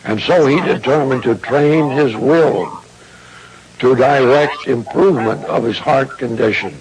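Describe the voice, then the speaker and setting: A man delivering a formal esoteric lecture in a solemn, deliberate, grandiloquent manner. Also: mid-range voice, crowd noise, rough recording.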